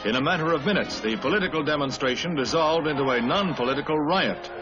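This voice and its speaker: High-pitched dramatic American newsreel man, music behind. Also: fast talker. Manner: emphatic, grandiose delivery. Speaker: newsreel narrator. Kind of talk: dramatic 1950s newsreel scripted narration. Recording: dull vintage 1950s newsreel soundtrack. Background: orchestral newsreel music.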